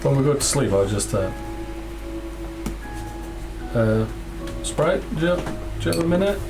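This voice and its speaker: A man playing a tabletop game, chatting in character in a wry, halting, self-correcting way. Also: medium pitch, big pitch swings, poor audio. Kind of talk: improvised in-character game chat. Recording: music behind the voice.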